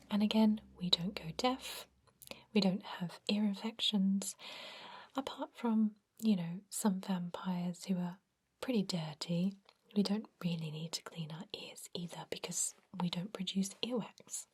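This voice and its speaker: A woman voicing a gentle, reassuring centuries-old vampire doctor in a soothing, breathy whisper, in an ASMR roleplay. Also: fairly low pitch, full character voice. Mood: gentle, explanatory. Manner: soothing, unhurried, attentive bedside explanations. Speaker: doctor examining a patient.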